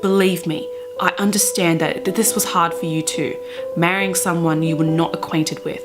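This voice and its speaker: Woman in her twenties, lowish voice, gushing and animated, chatty vlog delivery, dramatic emphasis, music in the recording. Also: normal speed. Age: 20s. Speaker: book vlogger. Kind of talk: casual chatty vlog with dramatic reading aloud. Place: small bedroom, indoors.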